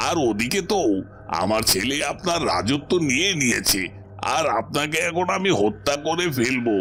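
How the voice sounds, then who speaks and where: A wicked royal minister from a cartoon tale. His delivery is lively and swooping, full of gloating and emphatic threat. Music plays clearly behind him. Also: fairly high pitch. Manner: mocking, menacing, emphatic. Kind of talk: Bengali cartoon voice acting. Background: background music.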